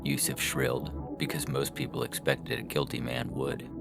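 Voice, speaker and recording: A man's voice: a bass voice, level tone, moderate pace, creaky. A man reading scripted fiction as a story narrator. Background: music.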